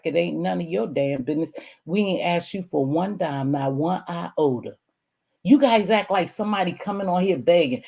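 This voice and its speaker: Streamer woman, hoarse, sassy, scolding, casual rant straight to camera viewers. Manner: scolding, sassy, swings between loud outbursts and low mutters. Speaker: online streamer.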